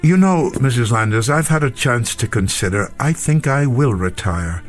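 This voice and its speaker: Man playing a gentle, reflective old professor. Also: medium pitch, animated, moderate pace, close to an everyday voice. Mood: wistful, content. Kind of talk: radio drama acting. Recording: faint music behind the voice.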